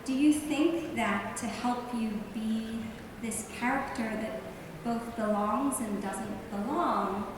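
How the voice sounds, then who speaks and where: Brief: upbeat woman moderator, a bit high-pitched, distant in a long-reverb hall. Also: slow pace. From born in Iran. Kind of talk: hosting a live Q&A. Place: large hall before an audience. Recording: echoey hall, distant mic, background noise.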